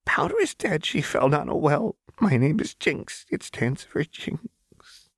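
A fragile, haunted young woman as a male narrator acts her in an audio story. The voice is lifted well above the reader's own, hushed and breathy, with a dazed, pleading manner and a dry, close sound. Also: fairly high pitch, sing-song, slow pace, no room sound, voice pushed up, heavily acted. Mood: dazed, sing-song.

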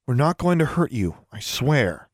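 A man's voice: medium pitch, animated, moderate pace, dry recording, clean studio sound, lightly acted. A man voicing a bold, confrontational teenage girl in an audiobook story, blunt and firm in the way of a leader. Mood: firm reassuring.

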